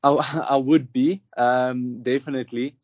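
Young South African man, flat hesitant speech. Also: mid-range voice, a little slow, dry recording. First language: Afrikaans. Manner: hesitant, many ums and restarts. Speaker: professional golfer, interviewee. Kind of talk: casual interview answers. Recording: dull, dry, lo-fi sound.

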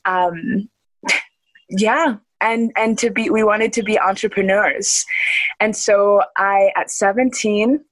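A woman's voice: mid-range voice, steady tone, slow pace, dry recording, low-quality recording. Enthusiastic young woman, dry close sound. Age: young adult. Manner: earnest, enthusiastic, laughs often, many restarts. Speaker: interview guest. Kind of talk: casual conversational interview.

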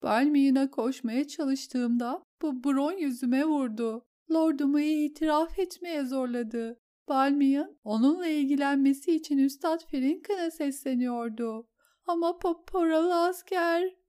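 A woman's voice: high-pitched, unhurried, warm tone, voice pushed up. Woman voicing a sobbing, stammering noblewoman. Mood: shaken. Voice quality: trembling, stammering. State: crying.

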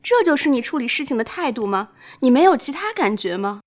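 A woman's voice: high-pitched, big pitch swings, brisk. A woman playing an earnest, troubled young woman doctor in an amateur radio drama. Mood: accusing.

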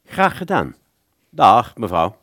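A man playing a polite, old-fashioned gentleman in a radio play, formal and hesitant, with small stammers. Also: on the low side, sing-song, takes it slowly, no echo, studio quality, exaggerated voice. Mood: apologetic, explaining.

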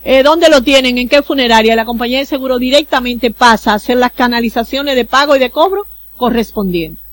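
A woman's voice: fairly high pitch, brisk. A woman from the Dominican Republic, with a Dominican accent, speaking as a consular official in an interview and explaining procedures earnestly with everyday examples. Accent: Dominican Spanish. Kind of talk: radio interview guest, explaining.

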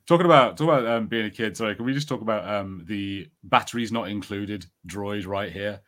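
A man's voice: fairly low pitch, fairly quick, a bit bright. A man co-hosting a podcast, giving his opinions in casual, conversational panel chat. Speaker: podcast co-host.

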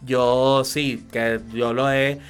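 A man's voice: mid-range voice, little pitch movement, extremely slow, faint music behind. A man with a Venezuelan accent telling his own story conversationally as a radio guest, often adding short check-in tags. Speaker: interview guest, tarot reader and astrologer. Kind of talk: conversational personal account on radio.

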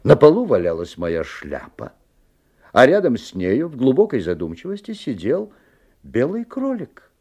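An actor playing a wary, observant father who narrates a strange tale expressively, with theatrical pauses. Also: medium pitch, wildly swooping pitch, warm tone, slightly rough, exaggerated voice. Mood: wondering, uneasy. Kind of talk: dramatic literary narration. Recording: 1970s audio play, music behind.

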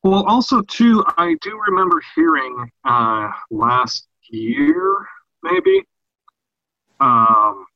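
A man co-hosting a casual podcast discussion about fiction, talking in a halting way with repeated and restarted phrases. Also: on the high side, wildly swooping pitch, gravelly, pushed and bright. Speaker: podcast co-host. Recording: dull, roomy lo-fi sound.

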